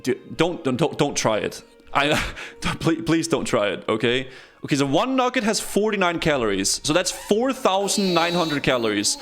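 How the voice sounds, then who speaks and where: Lively man streaming. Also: a little high, normal speed, faint music behind. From Denmark. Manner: excitable, chatty, talks straight to chat. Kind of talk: casual live-stream commentary.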